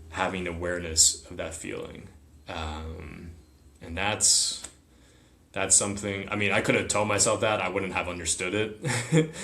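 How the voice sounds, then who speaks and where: A man's voice: low voice, a bit bright. A man whose first language is Chinese, talking reflectively as a podcast guest. He often checks in with "right?". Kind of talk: reflective interview talk. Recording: roomy echo.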